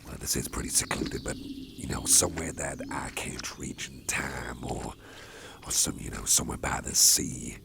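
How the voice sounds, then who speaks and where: A man voicing a huge, intimidating male boss who is gruff but protective, chatting and rambling in an ASMR roleplay with a deep, gravelly voice. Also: moderate pace, full character voice. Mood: easygoing. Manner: rambling, chatty, chuckles to himself. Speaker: boss character carrying the listener. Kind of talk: ASMR roleplay, personal attention.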